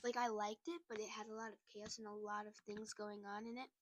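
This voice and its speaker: A woman's voice: medium pitch, level tone, fairly quick, no echo, studio quality. A woman chatting casually to her viewers while a little sick, rambling with lots of fillers and restarts. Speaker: book content creator. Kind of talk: casual chat to camera.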